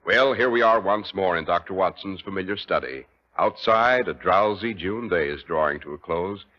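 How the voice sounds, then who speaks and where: A man's voice: sits low, normal speed, soft background music. A man announcing in a polished, emphatic, scripted style as host of a 1940s radio show. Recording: dull vintage 1940s radio recording.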